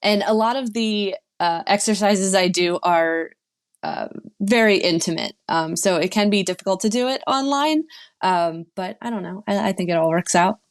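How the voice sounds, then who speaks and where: A woman guest, a sex and relationship coach, answering interview questions in a relaxed, chatty way with fillers. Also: medium pitch, moderate pace.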